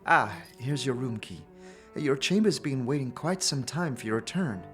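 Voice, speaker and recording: A woman's voice: rumbling low, expressive, moderate pace, soft background music. A woman playing a polite, hesitant young clerk in a fantasy audio drama. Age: young adult.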